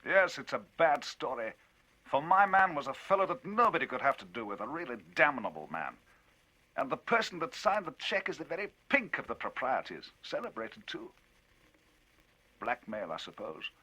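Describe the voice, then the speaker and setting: An excitable gentleman telling a strange tale in an audiobook, as a man reads him, voice leaping high with forceful emphasis. Husky edges on the loud lines. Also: normal speed, rough recording. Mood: animated. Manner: emphatic, rising, animated storytelling.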